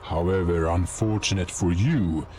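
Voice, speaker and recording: A man reading scripted dark fantasy narration as a storyteller, in an ominous, measured manner. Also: low-pitched, a little hiss or hum. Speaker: storyteller and game master.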